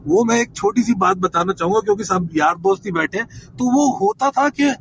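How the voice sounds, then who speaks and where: A middle-aged man with an Indian accent chatting reflectively as a guest, halting and repeating words. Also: high-pitched. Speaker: actor, guest panellist. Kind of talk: casual reflective chat. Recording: noisy online audio.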